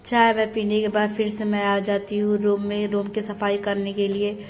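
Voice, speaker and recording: A young woman homemaker narrating her daily chores in a steady, matter-of-fact voice-over, step by step. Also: mid-range voice, moderate pace, slight background noise. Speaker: homemaker vlogger. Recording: voice-over with loud background music.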